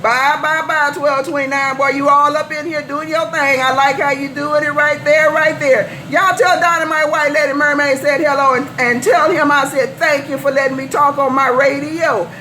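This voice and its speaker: A woman's voice: high voice, fairly even intonation, normal speed, hardly any pauses, bright, forceful tone. An American woman chatting on CB radio in a playful, boastful way, chanting repeated phrases. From California, US. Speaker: CB radio operator. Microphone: CB radio microphone. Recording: noisy CB radio transmission.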